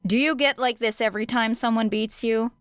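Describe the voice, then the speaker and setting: Unimpressed teen girl, voiced by a female narrator: deadpan, cutting, smooth-voiced, audiobook style. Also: on the high side, a little fast, no room sound, clean studio sound, voice pushed up, close to an everyday voice. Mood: dry scorn. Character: dry, scornful, unimpressed teenage girl.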